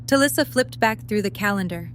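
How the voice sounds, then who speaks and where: A woman's voice: mid-range voice, animated, a little fast. A woman narrating a scripted story as the narrator of a fan audio drama. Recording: light noise and hum.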